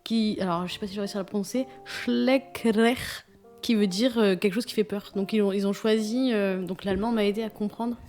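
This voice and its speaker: A woman's voice: mid-range voice, steady tone, brisk, a little mellow, soft background music. A woman co-hosting a casual podcast, eagerly telling an anecdote with small restarts. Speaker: podcast co-host.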